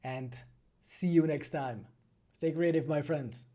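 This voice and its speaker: A man from the Czech Republic with a Czech accent, answering viewer questions casually on a livestream, phrasing things thoughtfully with frequent pauses. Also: on the high side, warm tone. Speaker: animated film creator and streamer.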